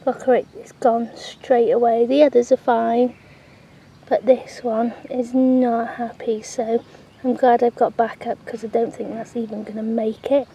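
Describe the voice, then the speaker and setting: A woman talks her way around a sunny allotment, slow and unhurried with a mellow, warm tone. Her chat rambles with plenty of ums, recorded on a handheld camera outdoors with a faint hiss behind her. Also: on the high side, flat delivery, muffled recording. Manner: chatty, rambling, frequent ums. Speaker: allotment vlogger. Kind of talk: casual gardening vlog narration. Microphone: handheld camera, speaker off screen.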